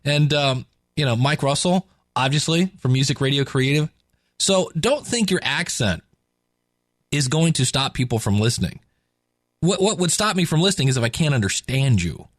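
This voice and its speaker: Chatty podcast host, dry close sound. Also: male voice, mid-range voice, very animated, moderate pace, dry recording. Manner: chatty, enthusiastic, talks to listeners directly. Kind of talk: casual podcast commentary.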